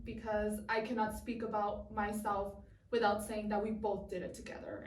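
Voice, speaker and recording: A woman's voice: mid-range voice, a little mellow, recorded from a distance, a little hiss or hum. A young adult woman from Southern California, a guest talking earnestly in an interview and repeating words as she thinks. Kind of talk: interview conversation. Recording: echoey room, voice from off mic.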